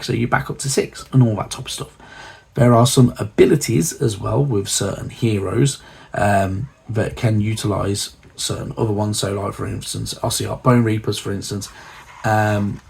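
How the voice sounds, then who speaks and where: A man with a cold, hoarse and croaky, rambling casually through a hobby magazine walkthrough with many fillers. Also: lowish voice, a little mellow. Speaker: channel host reviewing a hobby magazine.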